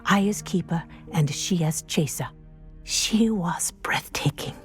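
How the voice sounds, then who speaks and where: A dignified middle-aged female professor in a video game, with a fairly low voice and music behind her. She speaks formally and precisely, in measured phrases, like a principled teacher who holds herself to strict standards. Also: in a whisper. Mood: solemn regret. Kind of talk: scripted game dialogue, storytelling.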